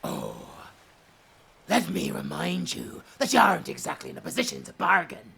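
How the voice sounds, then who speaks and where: A woman's voice: lowish voice, huge pitch swings, moderate pace, vocal fry, a bit bright, slightly rough, exaggerated voice. A woman playing a menacing, gloating pirate boss in a theatrical, taunting drawl in an audio drama. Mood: threatening.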